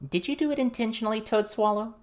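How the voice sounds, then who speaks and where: Man playing young girl, audiobook character, high-shifted voice, curious, probing, direct, wry. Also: fairly even intonation, close to an everyday voice. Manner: questioning, deliberate.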